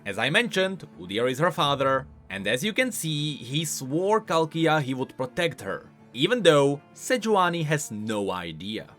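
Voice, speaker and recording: A man giving scripted, explanatory commentary as a video creator covering game lore, in an animated manner. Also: on the high side, a little slow, few pauses, faint music behind.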